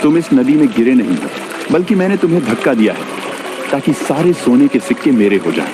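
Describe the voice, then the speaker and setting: A man's voice: medium pitch, big pitch swings, fairly quick, background noise, natural voice. A man playing a greedy, scheming village labourer in a moral story cartoon, sly and deceitful. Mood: greedy, gloating. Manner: sly, falsely reassuring.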